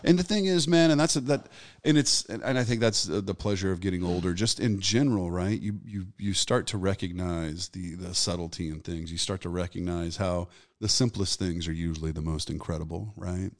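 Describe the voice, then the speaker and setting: A man in his forties tells stories in a relaxed, loose way and swears freely. His voice is very low and deep. The recording is close and dry. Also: fairly quick, no room sound. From Texas. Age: 40s. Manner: laid-back, profane, storytelling. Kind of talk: casual podcast conversation.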